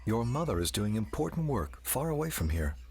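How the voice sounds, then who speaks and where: A man's voice: medium pitch, sing-song, brisk, darkish tone, slight background noise. An actor playing a stern, dismissive wealthy father in a video game.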